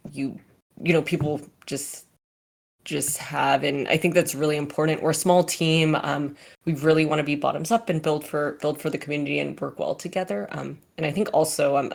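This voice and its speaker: A woman's voice: low-pitched, creaky. A woman from California answering audience questions as a company chief, casual and chatty with many fillers and restarts.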